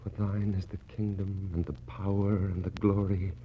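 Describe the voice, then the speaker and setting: A man acting a despairing British naval captain in a 1960s radio play, declaiming in anguished, bitter soliloquy that swings between hushed prayer and outcry. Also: deep voice, level tone, moderate pace, lightly acted. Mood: solemn, prayerful. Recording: dull, noisy 1960s radio recording.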